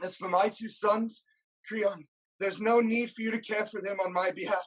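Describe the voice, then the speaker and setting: A woman's voice: mid-range voice, fairly even intonation, moderate pace, lightly acted. A woman playing an anguished, self-loathing blinded king in a declamatory, lamenting style of Greek tragedy. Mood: somber pleading. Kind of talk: theatrical Greek tragedy acting.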